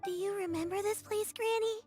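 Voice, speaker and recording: A small, devoted grandchild in a fantasy game. The voice is tiny, very high and earnest, with music clearly playing behind it. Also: female voice, fairly even intonation, fairly quick, a little mellow. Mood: caring. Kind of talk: video game character voice acting.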